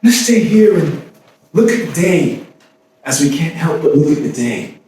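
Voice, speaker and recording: A man performing a staged dramatic monologue as a weary, lovesick, despairing man, speaking in halting, broken phrases with long pauses. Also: fairly high pitch, big pitch swings, darkish tone. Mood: pleading, broken. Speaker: stage actor. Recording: roomy echoing stage, distant mic, faint noise.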